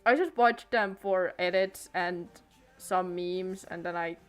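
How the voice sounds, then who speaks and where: A woman's voice: lowish voice, takes it slowly, smooth, faint music behind. Hesitant teenage girl rambling on stream. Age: late teens. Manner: hesitant, many restarts and trailing thoughts. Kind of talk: casual rambling stream chat. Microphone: desk microphone.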